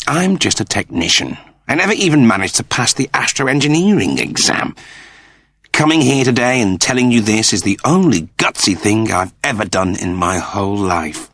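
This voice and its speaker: A man voicing an awkward, self-doubting middle-aged father in an audiobook, halting and earnest. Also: on the low side, expressive, a bit bright, no echo. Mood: confessing, ashamed. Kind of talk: dramatised audiobook dialogue.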